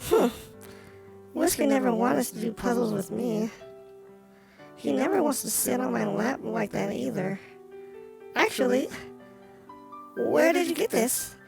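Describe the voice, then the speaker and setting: Woman doing a jealous porcelain doll in a game, prim n pouty, creaky edge, loud music behind. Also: a little high, expressive, a little slow, long pauses. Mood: suspicious. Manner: prim, formal phrasing.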